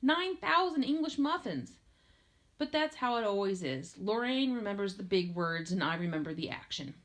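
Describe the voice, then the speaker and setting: A woman reading a first-person novel aloud in an expressive storytelling style. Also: on the low side. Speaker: audiobook reader.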